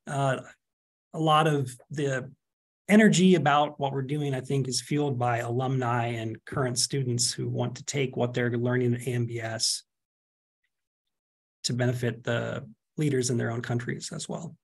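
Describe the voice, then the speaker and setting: A man in his forties, a seminary project specialist, speaking in a relaxed, appreciative way during a video-call interview. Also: mid-range voice, normal speed, vocal fry.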